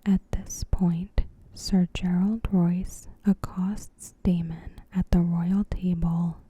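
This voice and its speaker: A woman narrating an ASMR recap in a soft, breathy whisper, gentle and measured. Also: fairly low pitch, level tone.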